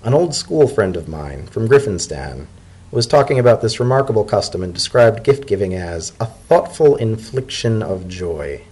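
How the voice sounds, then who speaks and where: A man's voice: lowish voice, sing-song, a little mellow, breathy edge, low-quality recording. A man voicing a polite, thoughtful pony diplomat in a storybook reading, speaking formally and sincerely. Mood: tender, reflective. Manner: formal, measured, sincere speech. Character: earnest, polite male pony civil servant, thoughtful diplomat.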